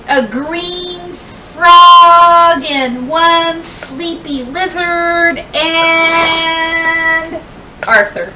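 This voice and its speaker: A woman reads a children's storybook aloud in a high, bright voice. She takes it very slowly, leaning on key words and leaving dramatic pauses like a classroom teacher. The recording sounds a little distant from the microphone. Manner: deliberate storyteller phrasing with dramatic pauses and emphasis. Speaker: teacher reading a picture book aloud. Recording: distant mic, faint background noise.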